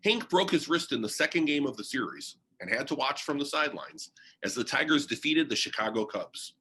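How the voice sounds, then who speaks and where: A man narrates a biography as if telling a story, reading from a text. His voice is bright and forward, with pitch that moves around in a lively way and an expressive delivery. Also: fairly quick, rough recording. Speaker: reader of a biography. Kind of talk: read-aloud biography narration.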